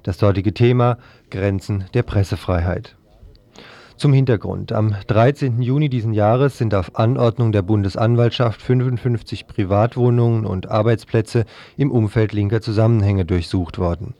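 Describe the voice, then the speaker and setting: Mellow-voiced man reading a news report. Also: fairly low pitch, normal speed, dry recording. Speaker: radio news presenter.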